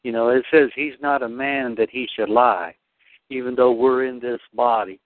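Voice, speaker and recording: A man speaking earnestly and devoutly about faith, with halting restarts. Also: medium pitch, steady tone, no echo. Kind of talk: spiritual discussion. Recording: dry phone line.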